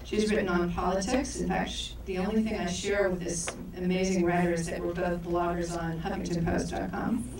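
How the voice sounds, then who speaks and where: A woman's voice: fairly low pitch, flat delivery, fairly quick, few pauses, darkish tone, slight background noise. A woman co-director opening a live event, reading speaker introductions with stumbles and self-corrections. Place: large echoey event hall. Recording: echoey hall from far off.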